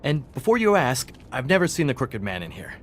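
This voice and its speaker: A smug trickster of a shop clerk played by a man in a video game. He talks in a mid-pitched, very lively voice, flippant and sarcastic, with faint ambience behind him. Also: a little fast, slight background noise, lightly acted. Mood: defensive. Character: glib, smug young male pawnshop clerk and trickster.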